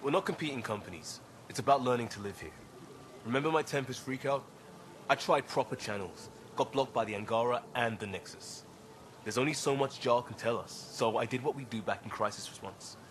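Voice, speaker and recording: A man's voice: mid-range voice, a little fast, fairly bright tone, slight background noise, lightly acted. An actor playing an earnest young crewman in a video game, talking informally and candidly. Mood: earnest, apologetic. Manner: informal, candid, a little sheepish. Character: earnest young male crisis-response crewman.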